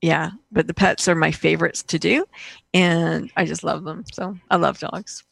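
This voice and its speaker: A woman's voice: sits low, steady tone, normal speed, creaky, no room sound, studio quality. A woman from Austria, a guest on an interview show, tells stories casually and animatedly, acting out the dialogue as she goes. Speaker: guest, painter and coach.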